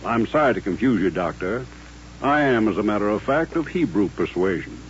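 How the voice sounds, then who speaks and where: Actor as courtly colonel, muffled old radio. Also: male voice, lowish voice, animated, a little hiss or hum, natural voice. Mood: grave, dignified. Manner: formal, courteous, measured. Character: courtly, dignified older Southern colonel turned rancher. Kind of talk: old-time radio drama acting.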